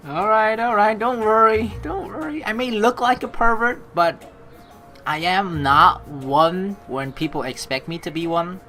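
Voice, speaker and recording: A young woman reading a visual novel aloud with joking, swearing asides, animated and quick to laugh. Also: medium pitch, soft background music. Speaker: let's play streamer reading a visual novel.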